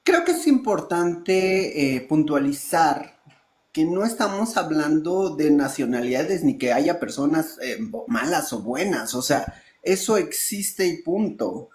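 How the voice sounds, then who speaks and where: A woman speaking as a panelist, animated and emphatic, with colloquial asides. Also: low-pitched, slow, reverberant. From Mexico. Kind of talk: panel discussion.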